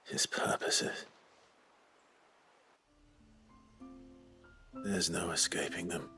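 An actor playing a grim, resolute fantasy game hero, low and gravelly, speaking in a brooding, measured way. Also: male voice, steady tone, sharp tone, soft background music. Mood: grave resolve. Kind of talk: dramatic video game acting.

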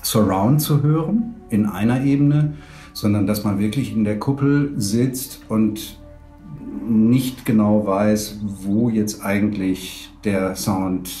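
A man's voice: lowish voice, slow pace, dark tone, soft background music. A middle-aged man explaining in an interview, hesitant and searching for words. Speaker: 3D audio producer.

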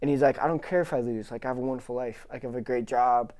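A man's voice: medium pitch, fairly even intonation, racing, darkish tone. A young man, a comedian guest on a podcast, telling stories in a laid-back way, swearing and laughing often. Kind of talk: casual podcast chat.

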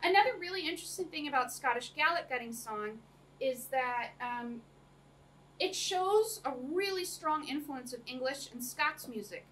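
Woman, young, lecturer, casual talk with ums and laughs, high-pitched, distant camera mic. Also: animated, moderate pace, fairly bright tone. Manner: chatty, many ums, laughs often. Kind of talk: academic talk to an audience. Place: small room with projector screen. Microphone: camera across the room. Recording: distant room mic, faint noise.

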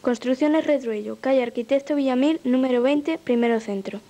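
A woman's voice: sits high, normal speed, warm tone. A woman reading scripted narration for local adverts, as a voice-over. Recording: music bed under the voice. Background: music.

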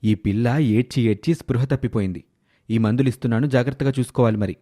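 Man acting a brisk, reproving village doctor. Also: mid-range voice, dark tone, natural voice. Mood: concerned, reproving. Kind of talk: animated moral story dialogue.

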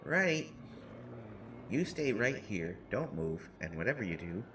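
Small, wisecracking teen boy, a man acting him, lowish slow voice, audio drama over noisy hiss. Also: sing-song, fairly bright tone. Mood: joking. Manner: rambling, self-mocking, nervous humor. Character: short teenage boy, joking and self-deprecating. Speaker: voice actor in an audio roleplay. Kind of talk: listener-directed audio roleplay.

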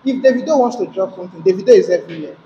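A man's voice: sits high, expressive, rapid-fire, mellow, highs cut off. A young man with a Nigerian accent in a casual debate, insistent and opinionated. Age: young adult. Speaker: participant in a music debate. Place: indoor gym, medium-sized room. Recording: echoey, noisy gym room pickup.

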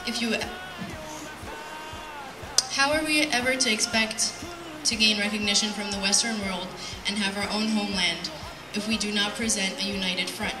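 A young adult woman giving an earnest, measured prepared speech at a podium in an event hall, reading from notes. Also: mid-range voice, steady tone. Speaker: speaker at a community event. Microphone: handheld mic on PA, picked up by distant camera. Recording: roomy, distant sound with music.

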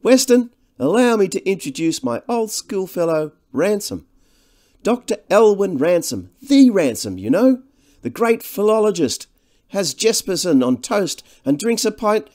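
A man's voice: high voice, sing-song, measured pace, a little mellow, pitched above the performer's own voice. A man voicing a glib, charmer in an audiobook, with a jaunty, flippant manner. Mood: jovial. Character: breezy, smooth-talking upper-class schoolfellow with glib charm. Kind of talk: audiobook character dialogue.

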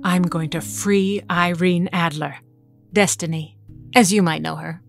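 A woman's voice: lowish voice, steady tone, measured pace, gravelly. Woman acting cold bossy ageless mutant mom, audio drama, clipped and guarded, bit of music behind. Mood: cool, firm. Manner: clipped, authoritative. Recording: music under the dialogue.

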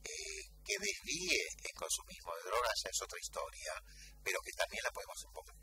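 A middle-aged man with a Rioplatense Argentine accent hosts a radio conversation, summing up in a reflective, measured way. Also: a little high, wildly swooping pitch, bright, forceful tone, a little hiss or hum. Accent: Rioplatense Argentine Spanish. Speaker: radio host. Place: radio studio. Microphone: studio microphone.

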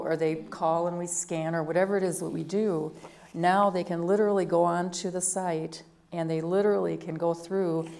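A woman whose voice sits lower than usual today, with a gravelly edge and a dark, mellow tone. She talks through procedure at a council meeting in a practical, matter-of-fact manner and stays in a narrow pitch band. Her delivery is that of a city clerk explaining things to the members.

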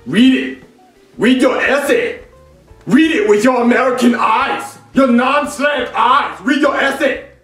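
Belligerent puppet teacher, very high bright shouting, insulting, roomy sound. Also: male voice, measured pace, soft background music. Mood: angry, taunting. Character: loud, belligerent male teacher puppet berating a pupil. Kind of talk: puppet comedy skit.

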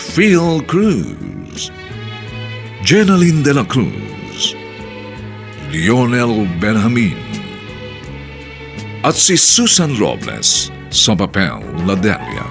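A man announcing formally for a Filipino radio drama, grand and courteous in his measured scripted delivery. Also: on the low side, creaky.

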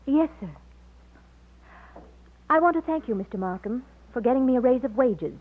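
A sweet, expressive, breathy young lady. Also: high voice, normal speed. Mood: brisk, polite. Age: young adult. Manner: polite, gentle, earnest. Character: sweet, eager young Southern woman, huckster's assistant. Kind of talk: old-time radio romance acting. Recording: thin lo-fi old radio recording.

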